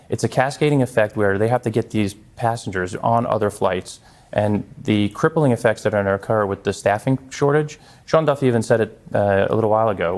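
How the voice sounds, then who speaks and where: Male news interview guest. Lowish voice, earnest delivery, circles back on phrases. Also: darkish tone. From Long Island, New York. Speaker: interview guest, congressional candidate and former air traffic controller. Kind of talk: live television news interview.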